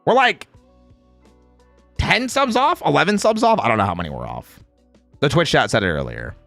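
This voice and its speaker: A man's voice: on the high side, sing-song, moderate pace, gravelly, fairly bright tone, no echo, soft background music. A man in a playful, casual livestream chat, reading out viewer comments and replying in slangy speech. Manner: playful, reads chat aloud and replies.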